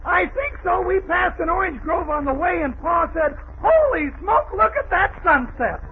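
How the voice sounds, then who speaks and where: A man playing a cheerful, simple country bumpkin with a raspy, breaking voice and a folksy manner in a 1940s radio comedy. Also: very high voice, expressive, normal speed. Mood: cheerful, hearty. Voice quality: cracked, raspy voice that breaks between registers. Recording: tinny, noisy vintage radio broadcast.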